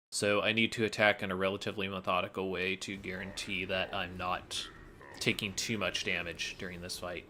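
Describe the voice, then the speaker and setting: A man's voice: low-pitched, sharp tone. A man giving explanatory commentary on his video game speedrun. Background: game music.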